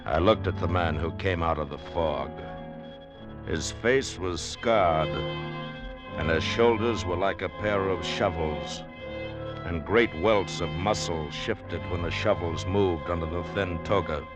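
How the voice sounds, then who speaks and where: A man's voice: low voice, measured pace. An actor playing a tough, wry American man in a 1940s radio drama, laconic and dry as he tells his own adventure. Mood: tense narration. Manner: hard-boiled, laconic, dry. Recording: old radio recording with music underneath.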